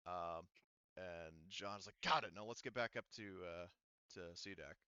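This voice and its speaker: A man narrating a tabletop roleplaying game as game master, casual and halting, with the odd swear word. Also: low voice, sharp tone, clean tone, no room sound, highs cut off.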